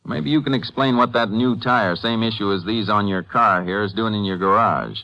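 An American man playing a calm, methodical Texas Ranger in a 1950s radio western, laconic, firm and matter-of-fact. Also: on the low side, moderate pace, low-quality recording. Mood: firm, probing. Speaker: lead actor in a radio drama. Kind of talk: 1950s radio western crime acting with first-person narration. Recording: vintage 1950s radio recording.

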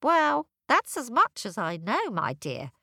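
A woman's voice: a little high, wildly swooping pitch, measured pace, pushed and bright, no echo, studio quality, heavily acted. A woman voicing a sympathetic old woman at a spinning wheel, speaking in a motherly way.

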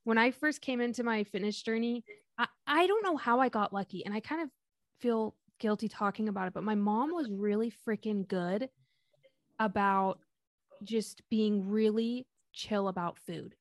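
A woman co-hosting a podcast in casual chat, with an excitable, giggly manner. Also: mid-range voice, moderate pace, no room sound, studio quality. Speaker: podcast co-host.

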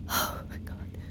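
An actress playing a frightened, remorseful captive woman, breathy and halting, in a naturalistic audio drama. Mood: horrified, remorseful.

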